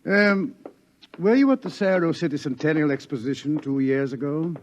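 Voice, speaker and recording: An actor playing a grave scientist and doctor who lectures in a measured, ominous way. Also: male voice, fairly high pitch, slight background noise, lightly acted. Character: grave older scientist warning of doom. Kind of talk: 1950s radio acting. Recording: dull 1950s radio broadcast.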